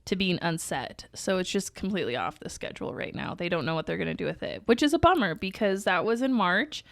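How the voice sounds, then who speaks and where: A woman in her thirties with a nasal voice and a cold, chatting as co-host of a podcast. She talks quickly and breaks into laughter often. The sound is dry and close, with no room around it. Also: lowish voice, no echo. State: has a cold. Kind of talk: casual chat.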